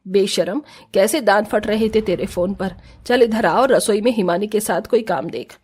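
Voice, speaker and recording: Cartoon matriarch woman acting: medium pitch, stern, scolding, commanding mother-in-law. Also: normal speed, darkish tone, slightly rough, natural voice. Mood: scolding, sarcastic. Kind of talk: cartoon family drama acting.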